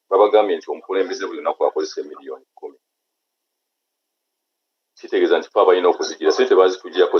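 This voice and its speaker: A very low, husky-voiced man with a Ugandan accent speaks haltingly on a video call. He is a chapter leader whose first language is Luganda, and he moves between English and Luganda. The call audio sounds dull and lo-fi. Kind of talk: online meeting remarks.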